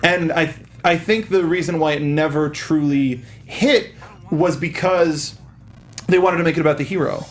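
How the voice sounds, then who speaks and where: A young man in his twenties with a fairly high voice hosts a casual movie discussion. He sounds keen and talks like a fan guiding the conversation. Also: fairly even intonation, moderate pace. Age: 20s. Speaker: movie podcast host. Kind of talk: casual film review chat.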